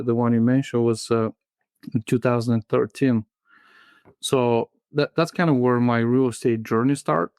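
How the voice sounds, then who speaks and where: A man in his thirties from Bulgaria with a Bulgarian accent, a guest in a casual interview who talks in a halting way, full of fillers and restarts. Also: mid-range voice, darkish tone, clean tone, no room sound, clean studio sound. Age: 30s.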